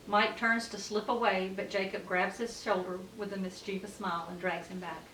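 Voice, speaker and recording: A woman's voice: mid-range voice, flat delivery, moderate pace, fairly bright tone. Echoey woman narrating a script. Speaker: narrator of stage directions. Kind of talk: scripted narration at a table read. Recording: echoey room, distant mic, faint noise.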